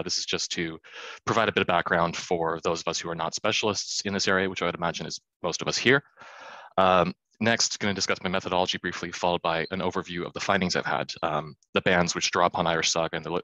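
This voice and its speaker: A man in his thirties giving an academic conference talk over a video call, quick and self-deprecating with many fillers. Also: sits low, sharp tone, no echo, rough recording. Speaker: conference speaker. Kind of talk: academic presentation.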